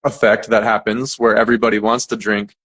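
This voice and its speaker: Man, American accent, young adult, lowish pitch, relaxed, chatty, filler-heavy. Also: brisk. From California, United States. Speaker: English teacher, interview guest. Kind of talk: casual conversational interview.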